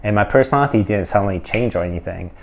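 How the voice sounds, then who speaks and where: A man's voice: on the low side, very fast, creaky, slight background noise. A man from Japan doing casual, opinionated reaction commentary in a blunt, sarcastic manner. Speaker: reaction video host.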